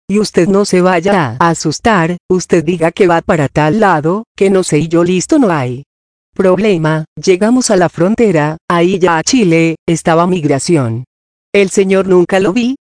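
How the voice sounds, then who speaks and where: A man's voice: high voice, a little mellow, dry recording, clean studio sound. A man giving a personal testimony and recounting events while quoting what others said to him. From Colombia.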